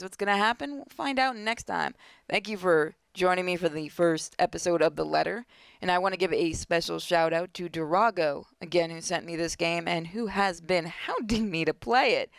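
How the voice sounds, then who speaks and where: A woman's voice: fairly low pitch, expressive, normal speed, creaky, no echo. A woman hosting a gaming video, reading horror story text theatrically and dropping in casual joking asides. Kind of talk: dramatic read-aloud of horror game text with casual asides. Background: game music.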